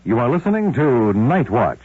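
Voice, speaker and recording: An American man delivers a deliberate, dramatic 1950s radio announcement. His voice is lively in pitch and a bit rough, on a tinny, dry vintage recording. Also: no room sound.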